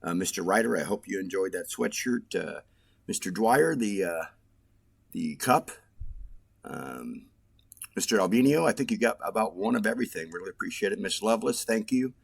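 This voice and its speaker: A man hosting a casual podcast, relaxed and loose, with frequent pauses and fillers. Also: fairly low pitch, creaky, breathy edge, dry recording, studio quality. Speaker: podcast host.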